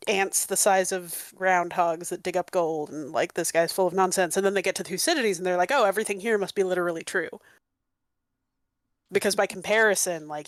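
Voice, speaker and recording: A woman with a fairly low voice chats animatedly as a history educator. She uses lots of filler words and restarts. The sound is close and dry. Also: no echo. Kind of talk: casual panel discussion.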